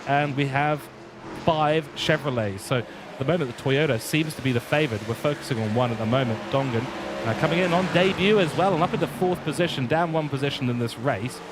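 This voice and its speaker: A man's voice: mid-range voice, background noise. British man calling a sim race. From England. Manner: chatty, reads viewer chat aloud, many fillers. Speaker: sim racing commentator. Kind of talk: live race commentary.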